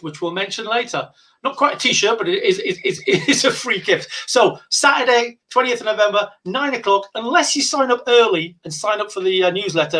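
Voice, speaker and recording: A man's voice: high voice, sharp tone. A man presenting whisky deals in a chatty live stream, rambling with lots of fillers and restarts. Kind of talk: casual live-stream sales chat.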